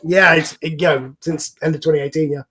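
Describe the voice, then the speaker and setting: Male host, fairly high-pitched, loose and informal, rambling conversational delivery for an online cast chat. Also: steady tone, a little fast, crowd noise. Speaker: host and series creator. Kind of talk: casual group chat, hosting. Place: online voice call.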